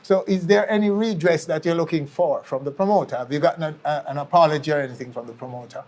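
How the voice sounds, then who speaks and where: A man's voice: on the high side, quick pace, darkish tone. A Jamaican man hosting an interview show, putting probing questions and restating what he is told. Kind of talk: onstage-style television interview.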